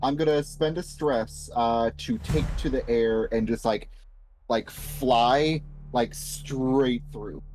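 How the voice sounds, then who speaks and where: A man's voice: medium pitch, slow, a little mellow, a little hiss or hum, low-quality recording. A man chatting casually as a player in a tabletop role-playing game. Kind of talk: casual chat among players. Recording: music playing behind the voice.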